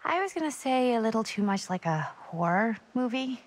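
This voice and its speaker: A woman's voice: a little high, big pitch swings, normal speed. An actress playing a friendly, awkward young American woman in a video game, with a hesitant, rambling, casual way of talking. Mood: casual, curious. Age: young adult. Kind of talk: naturalistic video game acting.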